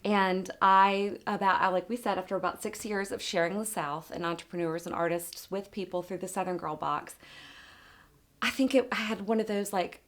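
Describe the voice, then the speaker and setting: A woman's voice: medium pitch, fairly even intonation, normal speed. Chatty woman designer talking shop. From American South. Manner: chatty, warm laughs, lots of fillers. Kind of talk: casual interview chat.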